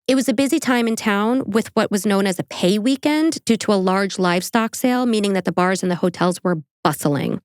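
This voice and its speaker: A woman's voice: medium pitch, expressive, fairly quick, few pauses, no echo, clean studio sound. A woman with a New York accent hosting a true crime podcast, reading the case and breaking off for casual, joking asides.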